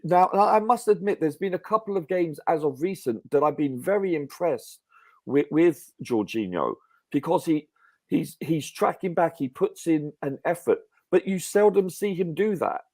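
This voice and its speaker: A man's voice: fairly high pitch, lively intonation, normal speed, a little mellow, no room sound. A man arguing emphatically and pedantically in a fan debate over a video call. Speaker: podcast panelist.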